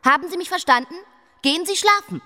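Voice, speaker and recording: A woman's voice: very high voice, lively intonation, fast talker, bright, forceful tone, exaggerated voice. An actress playing an imperious, restless young queen in a radio play, speaking with commanding intensity. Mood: imperious, irritated. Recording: long reverberant hall.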